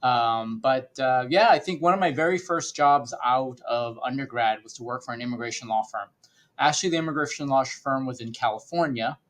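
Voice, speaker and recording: A man's voice: mid-range voice, fairly quick, fairly bright tone. A middle-aged man with a Boston accent, a guest attorney telling his story in a frank, conversational way.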